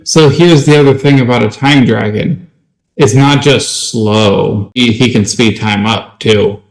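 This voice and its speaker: A man's voice: mid-range voice, a little slow, poor audio. A man co-hosting a podcast, chatting casually about tabletop gaming. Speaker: podcast co-host.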